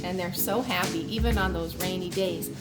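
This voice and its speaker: A woman's voice: lowish voice, normal speed, music in the background. A woman reading a picture book aloud to children like a teacher, expressively stretching key words and adding explanatory asides for young listeners.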